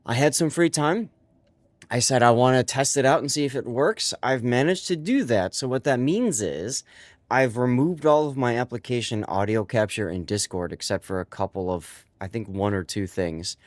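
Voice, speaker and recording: A man's voice: mid-range voice, moderate pace, no echo, studio quality. A young adult man with a stuffy, congested voice, chatting casually and rambling with lots of fillers on a live stream from his home setup. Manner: rambling, many fillers and restarts.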